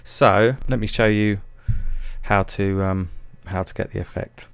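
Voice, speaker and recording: A man's voice: on the low side, steady tone, a little slow, creaky, smooth, dry recording. A man narrating a music production tutorial in a casual, hesitant, self-deprecating way.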